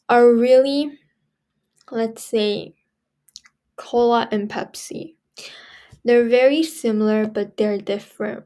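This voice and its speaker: A teenage girl from Korea, speaking English, talks through a lesson as a student tutor. Her voice sits a little high, and she takes her time, leaning on repeats and fillers to stress her points. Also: a little mellow. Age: teens. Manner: chatty, repeats herself for emphasis, many fillers. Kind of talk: casual recorded lesson.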